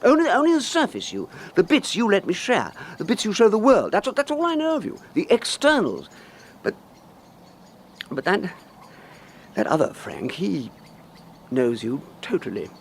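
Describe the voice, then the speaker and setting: A fretful British husband, voice swooping high. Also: male voice, huge pitch swings, a little hiss or hum, exaggerated voice. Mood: anguished. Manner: halting, agitated, repeats words. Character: anxious, fretful middle-aged English husband in a science fiction mystery. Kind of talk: radio drama acting.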